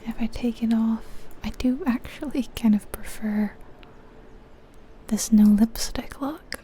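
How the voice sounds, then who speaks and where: Softly whispering woman, slow and breathy. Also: fairly high pitch, a little hiss or hum. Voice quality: breathy, mostly unvoiced whisper. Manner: musing, indecisive thinking aloud. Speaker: ASMR video creator. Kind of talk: whispered ASMR game commentary.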